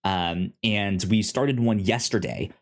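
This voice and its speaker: A podcast co-host chatting casually about a show, often repeating and stuttering on the first sounds of words. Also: sits low. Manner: halting, repeats and stutters on word starts. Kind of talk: casual podcast review chat.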